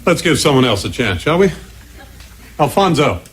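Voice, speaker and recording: A man playing a dry, patient high school history teacher in a 1980s sitcom, keeping a rowdy class in line. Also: very animated, normal speed, natural voice. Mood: dry, wry. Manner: dry, wry, firm with the class. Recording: noisy TV audio, studio audience laughter.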